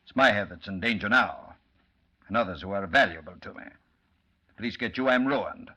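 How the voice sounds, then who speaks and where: An actor playing a middle-aged, cunning and short-tempered gang boss in an old radio drama, haughty and articulate. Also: male voice, on the low side, animated, moderate pace, bright, forceful tone. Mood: scornful, angry. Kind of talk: 1940s radio acting. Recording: muffled old-time radio recording.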